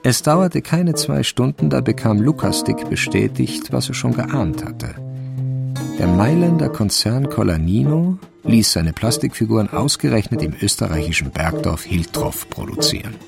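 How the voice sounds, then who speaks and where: An actor narrating a German radio crime drama as a wry storyteller, in a measured literary style. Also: male voice, mid-range voice, animated, creaky, music in the background. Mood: matter-of-fact.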